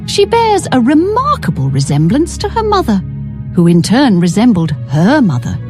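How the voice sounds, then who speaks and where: Woman playing an animated, gossipy fantasy tavern keeper. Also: a little high. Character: chatty female tavern keeper trading in local gossip. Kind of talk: scripted fantasy video game dialogue.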